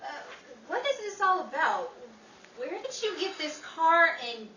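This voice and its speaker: Woman playing very high, inquisitive 1930s actress. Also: big pitch swings, measured pace, bright, forceful tone, exaggerated voice. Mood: anxious, questioning. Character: inquisitive, fretful young actress on the go. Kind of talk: 1930s radio comedy acting. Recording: echoey, distant, slightly noisy stage.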